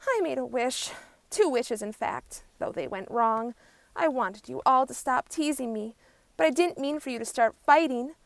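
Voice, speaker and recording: A woman voicing a timid little male warthog with a squeaky voice in a storybook. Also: lively intonation, normal speed, gravelly, no echo. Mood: earnest.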